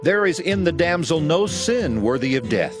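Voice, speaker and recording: A man reading scripture aloud as a narrator, solemn and measured, in a dramatized style. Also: on the high side, big pitch swings, music in the background. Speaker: Bible narrator.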